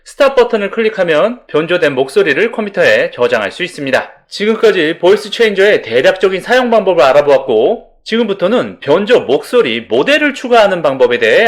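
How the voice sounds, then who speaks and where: A man narrating a scripted software tutorial step by step in a formal, polite instructional manner. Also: high voice.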